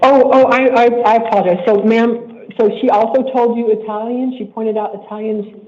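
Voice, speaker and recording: A man acting as judge in a court hearing. He speaks in a stern, measured way and repeats himself when he is pressing a point. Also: very high voice, steady tone, darkish tone. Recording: tinny court livestream audio.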